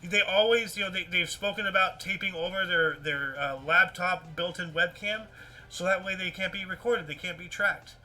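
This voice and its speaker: A man hosting a livestream news show, commenting casually in an animated, rambling way and often repeating himself. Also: high voice, normal speed. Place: indoors at a computer with webcam.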